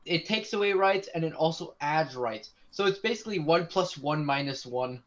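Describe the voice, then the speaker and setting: Eager young man, slightly high voice. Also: lively intonation. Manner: eager, argumentative, quick to correct. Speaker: co-host. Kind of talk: casual chat.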